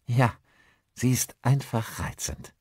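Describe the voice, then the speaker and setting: A man's voice: mid-range voice, fairly even intonation, slow pace, warm tone, breathy edge, no room sound, studio quality. A man voicing a friendly, married village pastor in an audiobook, courteous and talkative. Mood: affable.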